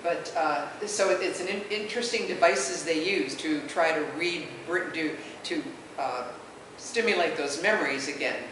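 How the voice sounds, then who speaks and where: A very echoey room with clear noise surrounds this woman. She co-hosts a fan panel, speaking informally and hesitantly as she searches for her words. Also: deep voice, normal speed. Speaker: convention panel co-host. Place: convention panel room, indoors.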